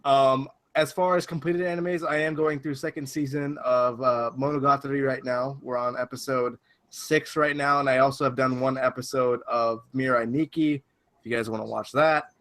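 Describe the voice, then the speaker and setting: A man speaking as a podcast guest at a middling pitch. He sounds eager and animated as he talks. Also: normal speed. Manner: enthusiastic. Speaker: guest, reaction channel host. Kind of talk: casual podcast chat.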